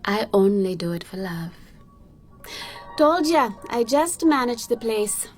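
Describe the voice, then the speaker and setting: High-voiced, cheeky Jamaican bar manageress. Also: female voice, sing-song, soft background music. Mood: teasing, sly. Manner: teasing, unhurried, frank. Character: cheeky, warm-hearted young Jamaican woman managing a bar. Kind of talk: radio drama acting.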